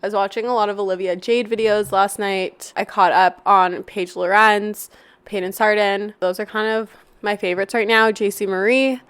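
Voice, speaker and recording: A young Canadian woman hosting a casual solo podcast from an apartment, her voice hoarse and raspy from a cough, chatty and rambling. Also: medium pitch, fairly even intonation, normal speed, fairly bright tone, no room sound. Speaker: podcast host. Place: apartment, not her usual studio.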